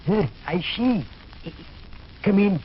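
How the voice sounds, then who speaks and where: An actor playing a crafty, half-mad old male servant with a slow, sinister manner in an old radio horror play. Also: high voice, lively intonation. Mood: sinister, calm. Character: crafty, demented-looking old manservant of a haunted house. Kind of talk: 1940s radio horror acting. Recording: muffled, noisy old radio recording.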